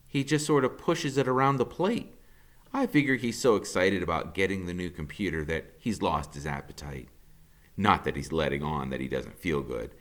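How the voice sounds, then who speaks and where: A man, a teacher, reading a novel aloud in the first person with an expressive, conversational delivery. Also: low-pitched. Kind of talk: audiobook narration in first person.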